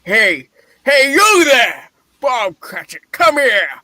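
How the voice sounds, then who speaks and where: Miserly old male boss for a radio play, man acting: high, bright, slow-paced, irritable and scornful. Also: very animated, no echo, clean studio sound, exaggerated voice. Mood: scolding, scornful. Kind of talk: dramatic play reading, radio-play style.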